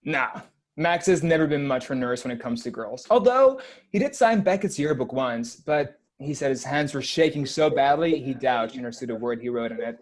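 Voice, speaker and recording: A man's voice: mid-range voice, expressive, moderate pace, close to an everyday voice. An actor reading a wry, skeptical eighteen-year-old skater boy at a film table read.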